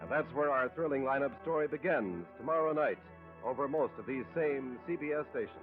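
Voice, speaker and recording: A male network announcer of 1950s radio with a medium-pitched voice, reading promotional copy. Music plays clearly behind him, and the sound is dull and lo-fi. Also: expressive, fairly quick. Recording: dull lo-fi old radio broadcast.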